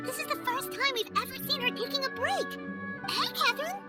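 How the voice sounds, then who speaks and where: A woman voicing a tiny, chirpy, curious fairy companion in a video game, childlike and squeaky. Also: brisk, over loud music. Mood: curious, surprised. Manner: refers to self in third person, squeaky exclaiming. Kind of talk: video game character voice acting.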